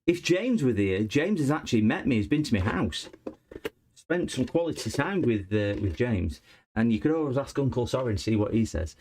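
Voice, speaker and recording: A man's voice: mid-range voice, expressive, moderate pace, a little mellow, a little hiss or hum. A man, a repair channel host, chatting casually with viewers while he works on a laptop. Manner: chatty, chuckling, replies to viewers while working. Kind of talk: casual live-stream chat while repairing.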